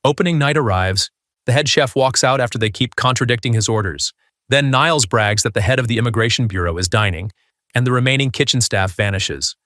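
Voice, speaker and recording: A man's voice: mid-range voice, fairly bright tone, no echo, studio quality. A man hosting a video countdown, reading a scripted narration.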